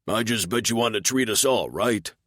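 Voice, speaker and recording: A man's voice: lowish voice, normal speed, a bit bright, no echo, clean studio sound, voice pushed up. A man voicing a burly, cheeky townsman wheedling for drinks.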